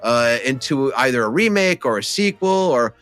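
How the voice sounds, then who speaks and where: A man's voice: medium pitch, lively intonation, normal speed, fairly bright tone, faint music behind. A man co-hosting a casual film podcast, talking enthusiastically and emphatically in a conversational way. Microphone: studio microphone, close.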